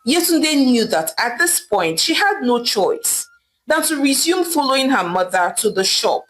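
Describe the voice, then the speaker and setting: Animated high-voiced woman storyteller. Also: measured pace, a little hiss or hum, poor audio. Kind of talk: dramatic scripted folktale narration.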